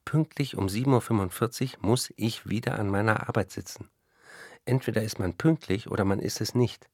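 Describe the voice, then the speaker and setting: An actor playing a driven, obsessive novelist in a radio play, husky and creaky, with emphatic declarations. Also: male voice, lowish voice, moderate pace, darkish tone, no echo, clean studio sound. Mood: rigid, strict. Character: driven, obsessive male novelist, rigid about routine.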